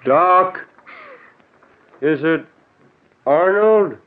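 An anxious, consoling man, in forties radio melodrama. He speaks slowly with big swings in pitch, through a phone-like old recording. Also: expressive, heavily acted. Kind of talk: 1940s radio melodrama acting.

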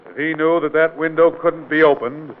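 A man acting as a hard-driving investigator in an old radio crime drama. His voice sits fairly high for a man and pushes with brusque authority. The sound is thin and band-limited, like a vintage broadcast. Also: fairly even intonation, normal speed, narrow, tinny audio, close to an everyday voice. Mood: accusing, insistent. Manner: authoritative, brusque, insistent rhetorical questioning. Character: forceful, commanding male state investigator exposing a killer.

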